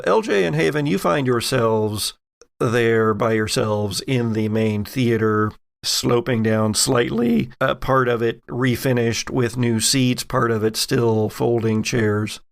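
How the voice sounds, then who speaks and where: Slow, gravelly man narrating a game. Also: mid-range voice. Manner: dramatic storytelling mixed with casual asides. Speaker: game master of an actual-play podcast. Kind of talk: narrating a tabletop roleplaying game.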